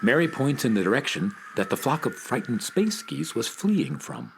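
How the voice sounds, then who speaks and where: A man's voice: mid-range voice, very animated, normal speed, vocal fry, slight background noise. A man narrating a scripted children's radio story, speaking directly to young listeners.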